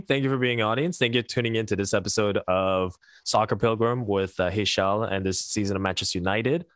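A young man from Canada hosts a relaxed chat, speaking in a fairly low voice that laughs easily and loops back on itself. The sound is dry and close, with no room behind it. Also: dry recording. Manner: chatty, laughs often, many restarts. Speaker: podcast host. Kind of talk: casual chat. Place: Montreal.

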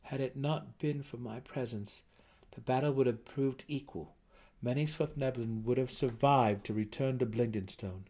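Fantasy audiobook lead: noble male dark elf, earnest and formal, measured delivery, performed by a male narrator. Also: medium pitch, pitched above the performer's own voice, natural voice. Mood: matter-of-fact.

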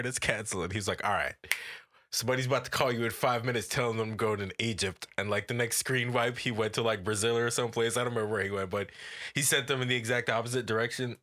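A man's voice: mid-range voice, sharp tone. A man chatting casually on a podcast, laid-back and slangy with lots of fillers. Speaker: podcast co-host. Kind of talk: casual chat.

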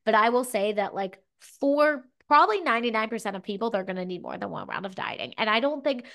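A woman's voice: on the high side, expressive, fast talker, a bit bright. Sniffly, chatty woman podcast host. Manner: chatty, frequent "like" and "you know". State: stuffy from a cold. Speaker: podcast co-host, fitness coach. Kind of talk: casual advice chat.

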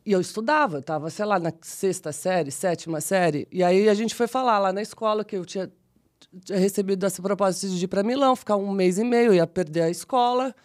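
A woman's voice: medium pitch, a little fast. Chatty São Paulo woman in her forties. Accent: São Paulo Brazilian Portuguese. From São Paulo, Brazil. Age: 40s. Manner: chatty, animated, self-deprecating, frequent restarts. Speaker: guest, model and actress. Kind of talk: casual interview storytelling.

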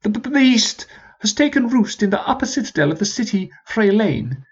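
A pompous, blustering sergeant voiced by a man who stumbles over his grand words while rallying his troops. His voice sits far above the reader's own, husky and swinging widely in pitch. The recording sounds dull and lo-fi. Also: big pitch swings, moderate pace, full character voice. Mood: rousing, pompous. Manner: stammering, grandiose speechmaking. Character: pompous blustering male army sergeant, middle-aged.

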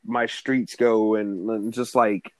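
A man from Brooklyn hosts a relaxed interview, talking in loose street slang. His voice sits close to the mic with a dry, roomless sound. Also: fairly low pitch, slow pace, no echo. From Brooklyn, New York. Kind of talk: casual interview conversation.

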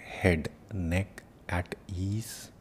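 A man leading a guided meditation as teacher, giving soft-spoken instructions with long pauses. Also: fairly even intonation. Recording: noisy with low rumble.

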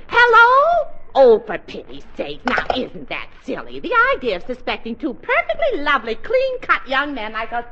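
An actress playing a flattered, excitable middle-aged single lady in a theatrical, gushing 1940s radio comedy style. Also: high-pitched, moderate pace, hardly any pauses, full character voice. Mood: puzzled, indignant. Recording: noisy, dull 1940s radio recording.

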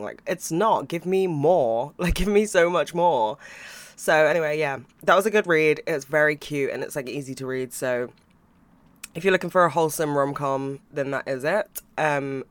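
A young man hosting a podcast, chatting casually about books and TV, swearing freely and laughing now and then, his voice a bit croaky. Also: on the high side, fairly bright tone. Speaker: podcast host.

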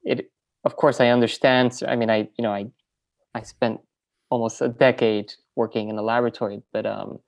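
A woman filmmaker and teacher, in a relaxed interview, who talks in loose, rambling sentences full of fillers and restarts. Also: a bass voice, brisk, vocal fry. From French Venezuelan, raised in New York. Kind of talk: casual interview conversation.

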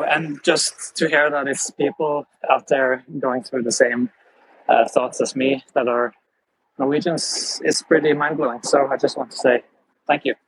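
A man's voice: mid-range voice, slightly rough, no room sound. A man from Norway, speaking earnestly and eagerly from beside a noisy road. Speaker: audience member. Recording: faint road noise behind.

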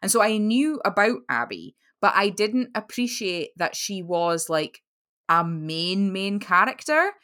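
A woman's voice: medium pitch, very animated, unhurried, a bit bright. A young woman chatting casually as a podcast guest, rambling with lots of "like" fillers. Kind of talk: casual chat over a call.

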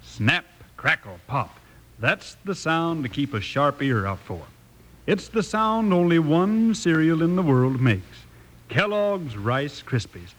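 An actor playing a folksy cowboy pitchman advising young wranglers in a 1950s radio commercial. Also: heavily acted.

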